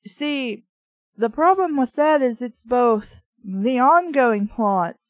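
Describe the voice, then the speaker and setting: Blunt, higher-voiced woman reviewing books. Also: animated, slow, no room sound. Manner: blunt, sweary, opinionated. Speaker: book reviewer, host. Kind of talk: casual critical book commentary, unscripted.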